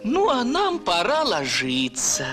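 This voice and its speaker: A woman's voice: fairly high pitch, wildly swooping pitch, slow pace, music in the background, heavily acted. A woman voicing a fussy female ball of yarn in a children's cartoon, reciting verse theatrically. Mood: sleepy.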